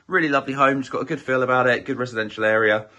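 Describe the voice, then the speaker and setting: A man giving a chatty, upbeat walk-through tour of a house as an estate agent, his voice a little croaky, filmed on a handheld phone indoors. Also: mid-range voice, rapid-fire, sharp tone. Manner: chatty, upbeat, filler-heavy with many "um"s. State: slightly croaky voice. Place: inside a small house, walking room to room.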